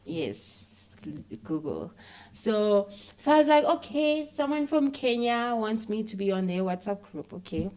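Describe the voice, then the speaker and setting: A woman with a South African accent talking casually as an interview guest, animated and peppering her speech with "you know". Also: mid-range voice, a little slow.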